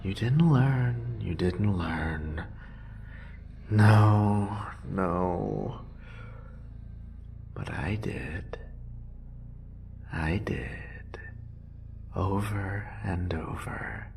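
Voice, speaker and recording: Unhinged yandere boyfriend for horror audio, low slow creaky whisper, breathy n possessive. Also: male voice, darkish tone, slight background noise, exaggerated voice. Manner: breathy whispering, drawn-out sighs, lingering repetitions. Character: obsessive, murderous male yandere lover, unhinged and possessive. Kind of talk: horror roleplay audio drama monologue.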